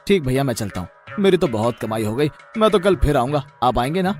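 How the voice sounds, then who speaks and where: Guy doing a nice simple farmer in a cartoon, cheerful, polite, lower than the trader, faint music behind. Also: very animated, a little fast, close to an everyday voice. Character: mild, cheerful, innocent male onion farmer.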